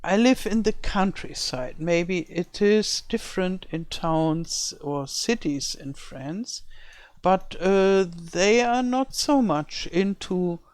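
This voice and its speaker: A woman's voice: on the low side, animated, slow pace, a little mellow, no room sound. An older woman from Germany with a German accent, who speaks English as a second language and thinks aloud while building a game map, sometimes searching for words. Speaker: let's-play creator. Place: home in rural France.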